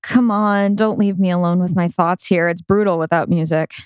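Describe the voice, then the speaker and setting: A woman's voice: lowish voice, steady tone, moderate pace, darkish tone, smooth, no room sound, clean studio sound, close to an everyday voice. A woman playing a tired, understated woman on a long drive in a screenplay read-through. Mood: weary. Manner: weary, understated.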